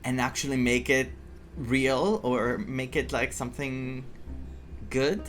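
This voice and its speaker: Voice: medium pitch, fairly even intonation, takes it slowly. A game streamer giving casual playthrough commentary, thinking aloud and reacting to the story. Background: game music.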